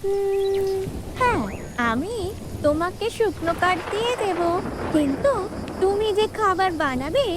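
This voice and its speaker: A very high-pitched, whiny cartoon crow wife, played by a woman. She is lazy, bossy and always looking out for herself. The recording has clear background noise. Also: expressive, unhurried. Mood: calculating. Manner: whining, bossy, self-serving. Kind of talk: children's cartoon fairy-tale acting.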